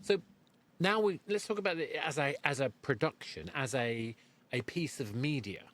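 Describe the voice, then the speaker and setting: A man's voice: fairly high pitch, normal speed, a bit bright. A man hosting a podcast interview, feeling his way through sentences and rephrasing as he thinks aloud. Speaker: podcast host. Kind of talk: interview conversation.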